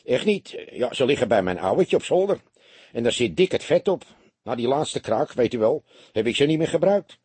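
A man's voice: mid-range voice, big pitch swings, normal speed, a little mellow, slightly rough, no echo. A man voicing a chatty small-time burglar in an audiobook, boastful yet nervous and wary, talking colloquially. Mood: defensive, wary. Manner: chatty, colloquial, hesitant when cornered.

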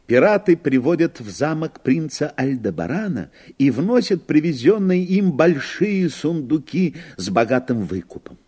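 An actor narrating a fairy-tale radio play as the storyteller, reading scripted scene descriptions. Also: male voice, fairly high pitch, very animated, unhurried, hardly any pauses, dark tone, husky, full character voice.

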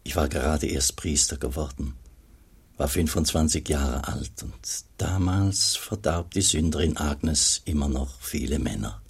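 An actor playing an old, pious, melancholy abbot in a German radio play, speaking gravely and solemnly as he looks back, with a gravelly, husky voice. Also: very deep voice, exaggerated voice. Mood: solemn, wistful. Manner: slow, solemn, measured storytelling.

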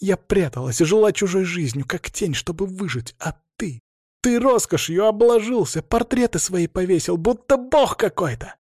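A man's voice: mid-range voice, moderate pace, a little mellow, dry recording, clean studio sound, natural voice. A man voicing a bitter, coldly defiant middle-aged woman, a wronged mother, in an intense, accusing audiobook performance. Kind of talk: dramatic audiobook character voice.

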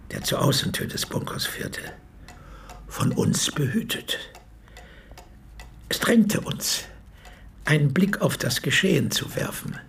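A man's voice: medium pitch, creaky, fairly bright tone, slight background noise. An actor playing an 86-year-old former army medic who recounts his memories as a solemn, precise eyewitness in a radio play. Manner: measured, precise, formal storytelling. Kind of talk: radio play monologue, reminiscence.

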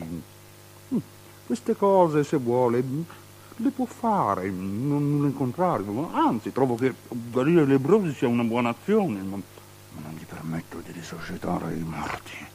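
A capricious, wildly swooping ruler. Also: male voice, mid-range voice, darkish tone, slight background noise, poor audio. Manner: hesitant, repetitive, halting, exclaiming. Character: capricious, muttering ruler, vain, uneasy and imperious. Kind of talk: avant-garde theatrical radio drama acting.

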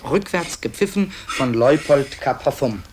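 A man's voice: medium pitch, lively intonation, unhurried, noisy background. Musing, wry male radio narrator. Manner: musing, hesitant, wry asides. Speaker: author-narrator presenting tapes to listeners. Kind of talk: conversational radio play narration.

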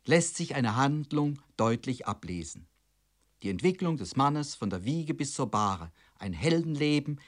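A man with a medium-pitched voice delivers a scripted lecture for radio. He speaks as a commentator, in a formal, measured manner. His long sentences are carefully and precisely phrased.

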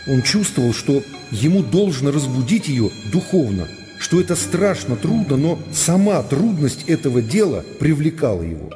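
Mellow dark-voiced man narrating literature. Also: mid-range voice, in a large hall. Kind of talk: scripted dramatic literary narration. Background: music.

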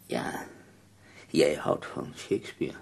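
A man acting in a Dutch radio play as an ailing, nearly blind poet, husky and gravelly, formal, tender and wry. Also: very deep voice, slow pace, in a whisper, full character voice. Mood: wry, reflective.

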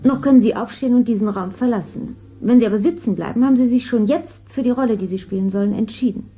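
A woman's voice: a little high, fast talker, soft background music, natural voice. A woman playing a cold official of a state TV authority, speaking formally and commandingly in a 1970s radio drama. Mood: ominous. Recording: dull lo-fi radio play recording.

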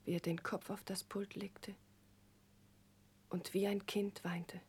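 An actress playing a gentle, anxious young woman in a 1960s German radio drama, speaking earnestly and confidingly in a breathy, hushed voice. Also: mid-range voice, little pitch movement, slow. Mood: troubled, sorrowful.

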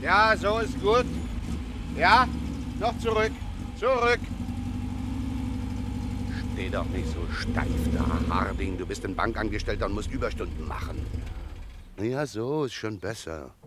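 An actor playing a coolly deceptive, commanding robber leader in a German radio play, speaking in a brisk, curt way. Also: male voice, fairly low pitch, lively intonation, noisy background. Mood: bossy, directing.

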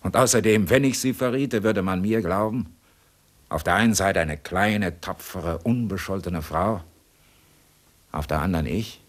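An actor playing a cool, sardonic escaped convict in a German radio crime drama, mocking and coaxing with cunning politeness. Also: male voice, low-pitched, unhurried. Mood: coolly persuasive.

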